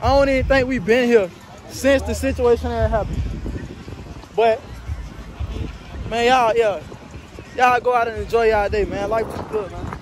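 A man's voice: very high voice, normal speed, long pauses, a little hiss or hum. A young man vlogging on a crowded beach, talking hyped and slangy to his phone camera. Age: young adult. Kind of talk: casual vlog chat. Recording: loud music and crowd behind. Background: loud music and crowd.

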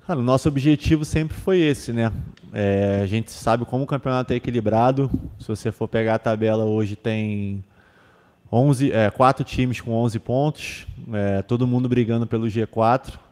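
A man's voice: medium pitch, normal speed, a little mellow, big-room sound. A young man, a football defender, answering press questions in a casual way, with many fillers and restarts, into a table microphone. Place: club press room with sponsor backdrop. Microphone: table microphone on stand.

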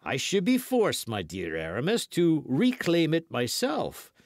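A man voicing a teasing young guardsman in an audiobook, speaking with affected, drawn-out seriousness. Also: a little high, wildly swooping pitch, no room sound, studio quality, voice pushed up, full character voice. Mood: mock-serious. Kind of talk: audiobook character dialogue.

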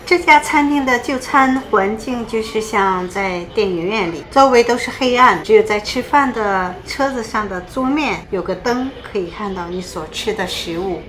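A woman narrates her channel's video in a friendly, measured voice-over. Her pitch sits in the middle range, and her delivery is calm and inviting. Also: slight background noise. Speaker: vlog host.

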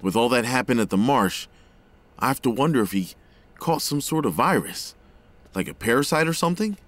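A man's voice: mid-range voice, lively intonation, brisk, close to an everyday voice. An actor playing a worried, desperate father in an audio drama, earnest, urgent and emotional. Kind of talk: dramatic audio fiction acting.

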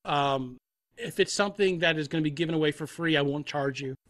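A man's voice: fairly high pitch, a little fast, fairly bright tone, no room sound, clean studio sound. A man chatting casually as an interview guest, telling stories with repeated words and asides. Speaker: guest, composer and audio drama creator. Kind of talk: casual chat.

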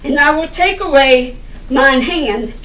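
Slow, high-voiced woman reading scripture. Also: a bit bright, a little hiss or hum. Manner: deliberate, broken phrasing with stumbles over words. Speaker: lay preacher reading scripture. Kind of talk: halting Bible reading aloud. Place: indoor room by a window. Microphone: camera a little way off. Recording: roomy, distant camera microphone.

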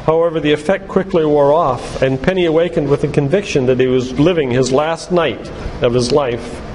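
Older guy doing an earnest church talk, kinda higher voice, big echo and some hiss or noise. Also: normal speed, a little mellow. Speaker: physician and lay preacher giving a church talk. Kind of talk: sermon-like lecture with read-out quotations. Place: church hall, live talk to congregation. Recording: reverberant church hall, background noise.

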